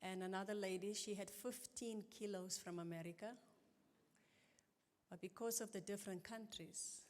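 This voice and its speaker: A middle-aged woman giving an earnest, halting and emotional personal testimony at a church conference. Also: medium pitch, steady tone, a little mellow. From South Africa. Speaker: conference guest speaker. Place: church auditorium. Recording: long reverb of a large church hall.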